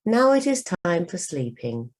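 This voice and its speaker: Woman reading as a kindly old grandfather, slow and lively. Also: lowish voice. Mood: tender. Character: kindly old Indian grandfather, fond and good-humoured.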